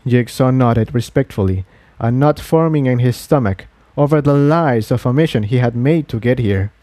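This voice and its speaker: A man's voice: mid-range voice, moderate pace, mellow, no echo. A man reading scripted story narration as an audiobook narrator, in a close recording.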